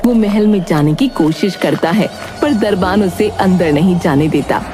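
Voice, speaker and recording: A woman's voice: fairly low pitch, expressive, unhurried. A woman giving scripted narration of a fairy tale as the storyteller. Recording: music under the voice.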